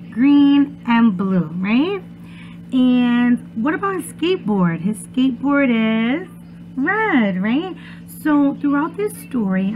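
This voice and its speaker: A young woman speaking as a preschool teacher, reading aloud to children in a sing-song way and asking them questions. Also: on the high side. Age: young adult. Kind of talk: children's read-aloud lesson. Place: small room at home. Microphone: webcam or laptop at arm's length. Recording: muffled phone-like webcam sound, loud noise.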